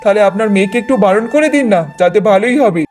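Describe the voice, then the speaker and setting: A man's voice: high voice, lively intonation, fast, darkish tone, soft background music. A man voicing a harsh, suspicious village husband in a cartoon, scolding and accusing. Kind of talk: Bengali moral-story cartoon dubbing.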